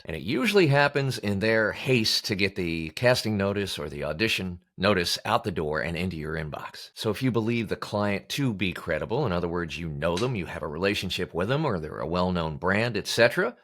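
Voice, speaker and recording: A man's voice: on the low side, a bit bright. A man giving scripted advice as a coach, in an emphatic, blunt, colloquial manner. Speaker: voice-over coach hosting an advice show. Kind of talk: scripted instructional talk to camera.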